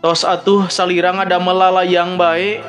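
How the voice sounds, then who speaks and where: A man's voice: sits high, little pitch movement, measured pace, a bit bright, long reverb tail, faint music behind, voice pushed up. A man voicing a humble, earnest young commoner in a told story, deferential and urgent, with a Sundanese accent. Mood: earnest, impatient.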